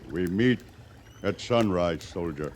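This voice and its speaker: A man's voice: deep voice, animated, slow. An actor playing a weary, proud Cheyenne band leader and bereaved father in a radio western, speaking solemnly in formal, simple phrases. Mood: bitter, resolved. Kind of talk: 1950s radio western acting. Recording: noisy vintage radio western recording.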